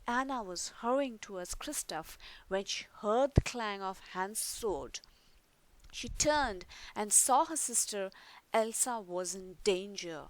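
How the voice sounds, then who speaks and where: A woman reading a children's story aloud in an expressive, deliberate storytelling manner. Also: a little high, rough recording. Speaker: storybook reader.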